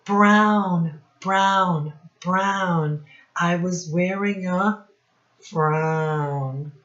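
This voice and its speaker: A woman teacher speaking to young children in a singsong instructional style, with simple phrases and deliberate repetition. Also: lowish voice, a bit bright, roomy sound. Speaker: children's teacher and read-aloud host. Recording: dull, lo-fi sound.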